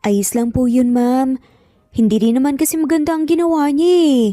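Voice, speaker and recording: A woman's voice: high-pitched, fairly even intonation, normal speed, dark tone, no echo, close to an everyday voice. A woman voicing a kind, humble young waitress who speaks politely and soothingly in an audio story. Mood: gentle, concerned.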